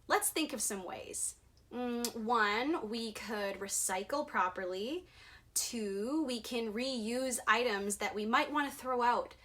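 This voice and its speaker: A woman performer speaking to children in an expressive, emphatic storytime manner. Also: a little high. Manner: dramatic, emphatic, clearly addressed to children. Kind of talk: expressive storybook reading and address to young viewers.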